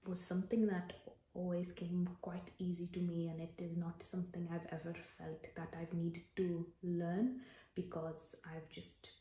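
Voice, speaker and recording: A British-born woman whose first language is Punjabi, talking softly in a general Indian accent she puts on for an ASMR video, often slipping into a whisper. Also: lowish voice, level tone, measured pace. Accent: general Indian, put on for the video. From Britain. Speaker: actor and ASMR creator. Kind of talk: soft-spoken ASMR talk. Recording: roomy echo.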